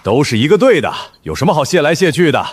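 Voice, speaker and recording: A man playing a blunt, hearty, chatty team veteran in an animated web drama, using slangy speech. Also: on the high side, quick pace, slightly rough. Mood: blunt, advising. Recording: background music under dialogue.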